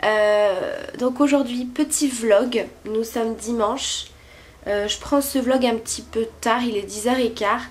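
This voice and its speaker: A woman vlogging from her bed at home, her voice stuffy and congested from a cold. She talks in a casual, meandering way, leaning on lots of little fillers. Her voice sits a bit high for a woman. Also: a little slow. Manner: chatty, hesitant with many fillers. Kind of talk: casual vlog chat.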